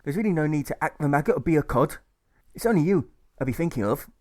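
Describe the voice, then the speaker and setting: A man voicing a friendly teenage soldier with an Irish accent, talking in a lively, boisterous way. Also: fairly high pitch, quick pace, voice pushed up, natural voice. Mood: earnest.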